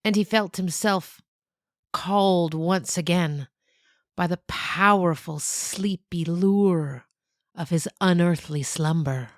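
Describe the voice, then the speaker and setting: A woman hosting and narrating a story podcast in an expressive storybook style. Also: lowish voice, takes it slowly, no echo, clean studio sound.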